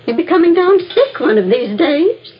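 A woman's voice: very high voice, big pitch swings, moderate pace. An actress playing a doting older American mother who fusses and nags in a homely way, in 1950s radio drama style. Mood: concerned, disapproving. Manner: fussing, nagging, homely. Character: fussing, doting older mother of a police detective. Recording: muffled, noisy old radio recording.

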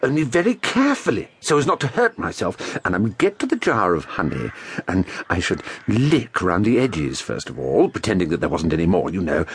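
A man voicing a slow, amiable, greedy bear in a dramatised children's story, musing and hesitant in a husky voice. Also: deep voice, a little hiss or hum. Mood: earnest, thoughtful. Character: Pooh, slow, dim, greedy, amiable honey-loving bear.

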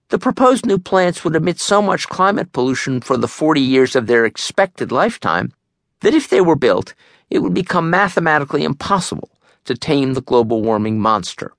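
A man reads a nonfiction memoir as an audiobook narrator, measured and articulate, in a studio. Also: on the high side, vocal fry, fairly bright tone, dry recording.

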